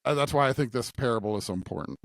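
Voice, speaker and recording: A man's voice: medium pitch, racing, creaky, no room sound, clean studio sound. A man hosting a conversational scripture discussion on a podcast, thinking aloud and often checking in with a questioning "right?". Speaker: podcast host.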